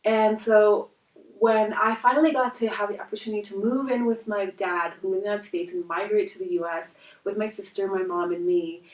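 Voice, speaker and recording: A woman in her twenties from India, a native Hindi speaker, telling her life story as a life coach in an earnest, reflective way with soft pauses. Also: medium pitch, steady tone. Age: 20s. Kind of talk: personal storytelling vlog. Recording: roomy echo, voice from a distance.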